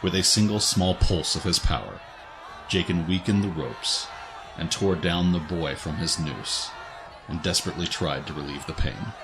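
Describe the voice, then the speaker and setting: Deep-voiced man narrating a dramatic story. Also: normal speed, crowd noise, noisy background. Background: faint music.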